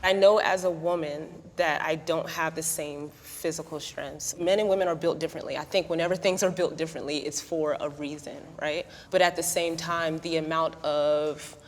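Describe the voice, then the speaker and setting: A woman who writes poetry, speaking in an animated, conversational way during a debate. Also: low voice, moderate pace, hardly any pauses, in a large hall, slight background noise. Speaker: writer and poet, debate participant. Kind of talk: casual debate.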